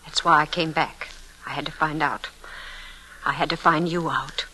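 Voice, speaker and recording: A woman's voice: deep voice, fairly even intonation, moderate pace, bright, forceful tone. An actress playing an earnest young woman, frightened yet defiant, intense and accusing, in an old-time radio thriller. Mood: horrified accusation. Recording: faintly noisy vintage radio recording.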